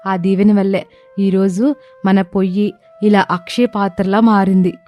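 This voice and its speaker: A woman acts as a kind, forgiving young village wife in a storybook drama. The voice is soft-edged and mellow, with earnest, gentle phrasing over faint music. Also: mid-range voice, little pitch movement, a little slow, close to an everyday voice. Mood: awed, earnest. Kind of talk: acted moral story dialogue.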